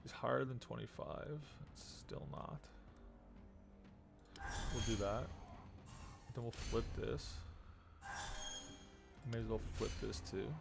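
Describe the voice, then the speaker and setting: A man with a heavily creaky, gravelly voice and a middling pitch streams a video game. He thinks aloud in a casual mutter, with loud game music running behind him. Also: slow pace, long pauses.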